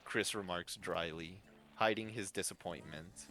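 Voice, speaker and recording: A man's voice: low-pitched, measured pace, bright, forceful tone, slight background noise. A man narrating a fan-fiction story as its host. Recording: faint music behind. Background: faint music.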